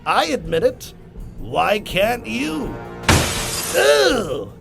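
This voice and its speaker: A man playing an insane, taunting villain clown in a comic-book drama, theatrical and rambling, lurching between hushed musing and shrill mania. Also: wildly swooping pitch, extremely slow, gravelly, loud music behind the voice, heavily acted. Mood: bitter, manic. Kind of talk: comic-book motion comic acting.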